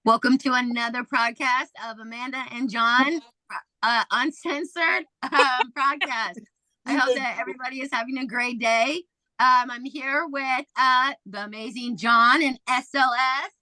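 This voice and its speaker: A woman's voice: sits high, steady tone, measured pace, sharp tone, no room sound, poor audio. A woman hosting a podcast in a casual, chatty way, full of fillers. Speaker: podcast host.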